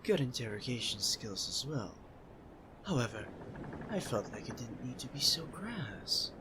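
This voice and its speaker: Man as suave, slow-talking teasing pirate captain. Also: mid-range voice, big pitch swings, background noise. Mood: smug, amused. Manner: smooth, courteous, playfully smug. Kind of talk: ASMR roleplay acting.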